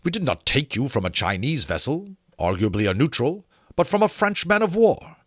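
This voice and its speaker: A man's voice: mid-range voice, very animated, normal speed, no room sound, studio quality, pitched above the performer's own voice. A man voicing a gallant, reassuring military captain with formal, courteous manners in a dramatic storybook reading. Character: gallant, reassuring British male dragon captain.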